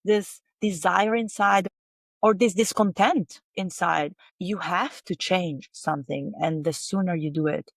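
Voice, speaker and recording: A woman's voice: lowish voice, steady tone, measured pace, vocal fry, few pauses, dry recording, clean studio sound. A woman hosting an interview, asking reflective, coaxing questions. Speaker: host, wellness coach. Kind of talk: interview conversation.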